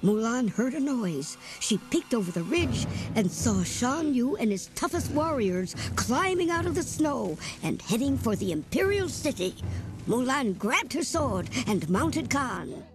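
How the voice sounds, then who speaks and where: A woman reading a children's storybook aloud with a lively, expressive delivery. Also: fairly high pitch, a little slow, music in the background. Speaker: storybook reader. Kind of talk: read-aloud storybook narration.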